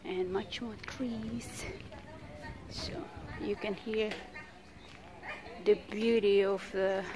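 A woman's voice: mid-range voice, fairly even intonation, extremely slow, background noise. A woman narrating a casual walking tour outdoors, chatty, with many fillers and frequent laughs, tired from the heat. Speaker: vlogger. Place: outdoors at a beach park with trees.

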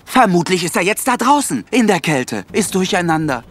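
High-voiced theatrical cartoon lion. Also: male voice, normal speed, echoey room. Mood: pitying. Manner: theatrical, excitable. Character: conceited, dramatic male lion, loyal friend. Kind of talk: animated film audio drama acting.

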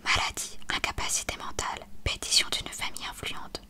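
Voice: brisk, lightly acted. A storyteller whispering the lines of a weary, cryptic old headmaster in a storybook reading.

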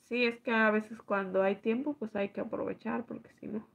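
A woman, hoarse from allergies, chatting slowly with viewers and reading their comments aloud on a live stream from home at night. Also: on the high side, fairly even intonation, fairly bright tone. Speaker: livestream host reading chat. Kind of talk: casual live chat with viewers.

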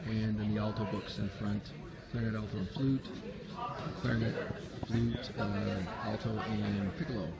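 A man's voice: on the low side, steady tone, normal speed, vocal fry, loud music behind the voice, a loud crowd. A man in his fifties filming a casual vlog from his car and a rehearsal hall, talking with lots of filler words. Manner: chatty, many "uh" fillers. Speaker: woodwind doubler vlogger. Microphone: handheld camera at arm's length.

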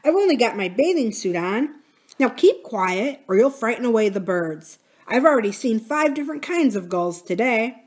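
Storybook boy, young, kind bird lover, gentle and eager delivery from a female narrator. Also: on the high side, sing-song, moderate pace. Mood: mild scolding. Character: kind young boy who loves birds.

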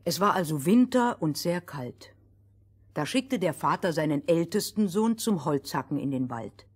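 A woman narrating a scripted fairy tale for children in a deliberate, schoolmistressly manner. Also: low-pitched, expressive, husky. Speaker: first storyteller.

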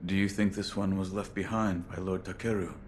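An actor playing a stoic, loyal shinobi warrior in a video game, speaking in terse, grave, restrained phrases. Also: male voice, deep voice, flat delivery, a little fast, a little hiss or hum, lightly acted. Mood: respectful, grave.

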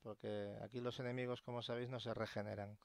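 A man's voice: on the low side, level tone, quick pace, creaky, no echo. A man with an accent from Spain giving casual, colloquial and sweary commentary as he plays a video game. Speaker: gamer, channel host. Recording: loud game noise behind voice.

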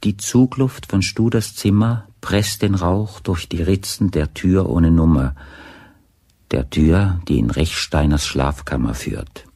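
A man narrating a crime radio play in a deliberate, literary storytelling manner. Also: sits low, dark tone, husky, no room sound, heavily acted. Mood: measured, observant. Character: measured, observant male narrator of a crime story.

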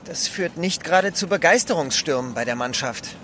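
A man's voice: on the high side, expressive, moderate pace, sharp tone, a little hiss or hum. An actor playing a sceptical, grumbling man of a ship's company in an audio drama. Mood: doubtful. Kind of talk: German radio play acting.

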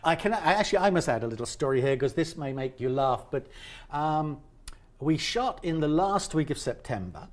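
A talkative man conducts an interview with a lively, up-and-down pitch. His voice sits a little high for a man, and he often restarts his sentences mid-thought. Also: moderate pace. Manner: talkative, animated, frequent restarts. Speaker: interviewer and director. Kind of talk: chatty interview.

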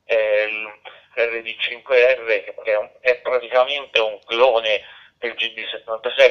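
Male ham radio operator, lowish pitch, conversational and wandering with lots of fillers, lo-fi radio transmission. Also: clear, resonant tone. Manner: rambling, frequent filler phrases. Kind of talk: casual radio contact chat. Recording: dull digital two-way radio audio.

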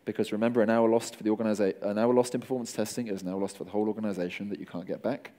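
Low, mellow-voiced man giving a wry talk. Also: fairly even intonation, very fast. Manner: wry, conversational, jokes with the audience. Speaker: conference speaker. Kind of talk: conference talk. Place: conference stage.

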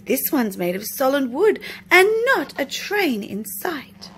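A woman voicing a sneaky, flattering male fox from a children's storybook, with a pushy salesman's patter. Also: high-pitched, takes it slowly, pitched above the performer's own voice, full character voice. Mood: insistent. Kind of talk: children's storybook read aloud. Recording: noisy home recording.

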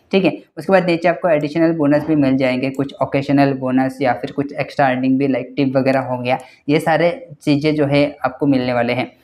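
A young man hosting a job-vacancy channel, walking viewers through a listing on screen in a quick, repetitive explainer style, often addressing them as guys. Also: on the high side, darkish tone. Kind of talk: screen-share walkthrough explainer.

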